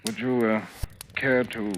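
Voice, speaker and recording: An actor playing a polite but suspicious detective sergeant, deliberate and insinuating, in an old-time radio drama. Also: male voice, medium pitch, level tone, lightly acted. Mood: suspicious, accusing. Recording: vintage radio recording, faintly noisy.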